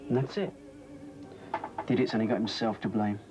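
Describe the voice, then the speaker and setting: A man's voice: on the low side, steady tone, brisk, close to an everyday voice. An actor with a British accent playing a harried male radio DJ who grumbles sarcastically and feels sorry for himself. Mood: sarcastic. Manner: sarcastic, self-pitying grumbling. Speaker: actor in a TV drama. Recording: dialogue under loud music.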